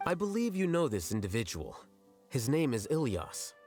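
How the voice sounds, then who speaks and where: A man voicing a composed, blunt, analytical scholar-leader in a video game, measured and formal. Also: medium pitch, big pitch swings, darkish tone, faint music behind, close to an everyday voice. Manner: measured, matter-of-fact, formal. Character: composed, blunt, analytical male acting grand sage.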